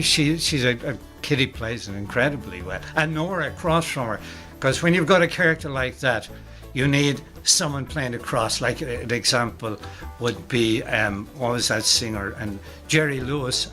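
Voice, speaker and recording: Irish guy in an interview, medium pitch, halting, repeats himself, bit of music in the background. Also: expressive, sharp tone. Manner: halting, repeats words while explaining. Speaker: radio dramatist being interviewed. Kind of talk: informal interview answers.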